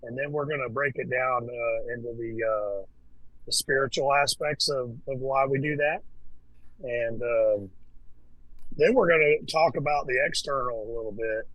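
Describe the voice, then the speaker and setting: A man chats as a guest in a loose, unhurried way, stumbling through fillers and restarts. His voice sits at an everyday middle pitch, and the recording is dry, with no room sound. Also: dry recording, low-quality recording. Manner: relaxed, hesitant, with many fillers and restarts. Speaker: podcast guest, Freemason. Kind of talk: casual conversation.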